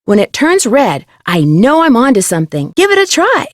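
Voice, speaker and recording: An actress playing a curious, plucky young woman detective in an adventure game, speaking in a clear, conversational, scripted way. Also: high voice, huge pitch swings, normal speed, no echo, clean studio sound. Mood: upbeat, instructive.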